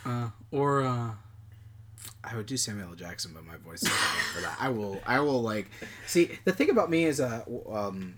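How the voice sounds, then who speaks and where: A young man co-hosting a casual film podcast, his voice swinging between high and deep as he talks loudly. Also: expressive, moderate pace. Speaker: podcast co-host.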